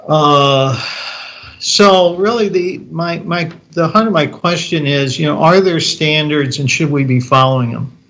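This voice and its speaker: A man on a board committee, asking pointed questions at a meeting in a halting, restarting way. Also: on the high side, fairly bright tone, rough recording.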